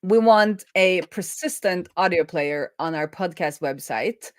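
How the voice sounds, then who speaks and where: A woman's voice: lowish voice, measured pace, no echo, clean studio sound. A woman explaining programming informally as a host, thinking aloud as she goes. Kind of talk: informal live coding explainer.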